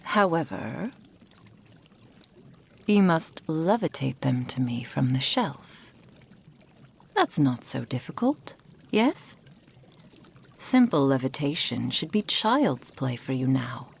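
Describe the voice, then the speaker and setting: A woman's voice: very deep voice, sing-song, takes it slowly, long pauses, full character voice. A woman voicing a possessive kitsune witch mentor in a roleplay ASMR, speaking in formal, old-fashioned phrases. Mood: soft, coaxing. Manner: breathy whispering, formal archaic phrasing.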